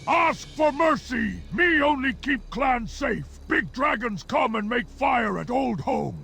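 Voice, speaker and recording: A man's voice: very high voice, lively intonation, slow pace, gravelly, pushed and bright, husky, full character voice. A man voicing a dim, blustering ogre chief in a video game, speaking emphatically in broken grammar. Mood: defiant, pleading. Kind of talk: video game character acting.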